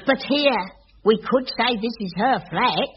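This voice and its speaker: Actress as a cheeky, flirtatious cleaner, high voice, slow delivery, faint hiss. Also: female voice, natural voice. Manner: bawdy, quick with innuendo. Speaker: Elsie, cleaner. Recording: dull old radio broadcast with faint hiss.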